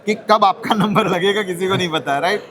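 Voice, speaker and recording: Guy with a high voice hosting a product interview, chatty explainer, mixes in english phrases. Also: a little fast, a bit bright. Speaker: host interviewing a guest.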